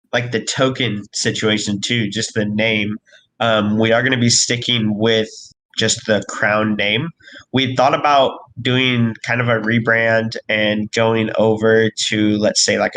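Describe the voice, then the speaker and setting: Male crypto team member, hoarse, low flat delivery, rambling filler-heavy chat. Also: slight background noise, poor audio. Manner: rambling, many fillers and restarts. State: hoarse, recovering from illness. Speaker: crypto project team member hosting an AMA. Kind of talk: casual live voice chat update.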